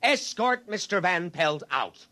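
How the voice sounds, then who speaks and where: An actor playing a pompous, ambitious middle-aged army colonel in an old radio drama, with clipped officious delivery. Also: male voice, high-pitched, big pitch swings, unhurried, background noise. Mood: exasperated. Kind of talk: radio drama acting with first-person narration. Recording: vintage radio drama recording with music.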